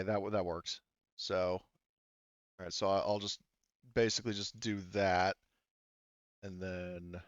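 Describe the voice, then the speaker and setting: Male game master, deep-leaning and clean-toned, informal and profane, on a slightly dull voice chat. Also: clear, resonant tone, dry recording. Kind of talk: casual chat running an online tabletop game.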